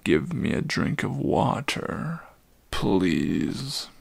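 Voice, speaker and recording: A man voicing a very old, weary male centaur with a deep, gravelly voice, pleading slowly and heavily in a dramatic storybook reading. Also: very animated, no echo, exaggerated voice. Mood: urgent, weary. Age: older.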